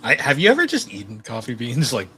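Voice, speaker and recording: Young male podcast co-host, low relaxed voice, slight hiss behind. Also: fast. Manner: laid-back, chuckles while telling stories. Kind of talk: casual chat.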